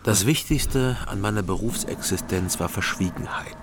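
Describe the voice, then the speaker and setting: An actor playing a guarded, melancholy private detective, narrating in a measured, confessional way in a radio drama. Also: male voice, fairly low pitch, background noise, natural voice. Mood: guarded, reflective. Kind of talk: radio drama first-person narration and dialogue.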